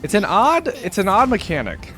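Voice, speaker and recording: A young man giving casual, expressive reaction commentary as a video host, easily amused. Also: high-pitched, moderate pace. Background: game audio and music.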